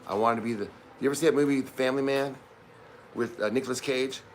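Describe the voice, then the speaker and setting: A man's voice: mid-range voice, fairly even intonation, quick pace, a little hiss or hum. A man in his fifties chatting casually on a livestream from his home kitchen, rambling with many restarts. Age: 50s. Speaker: livestream co-host.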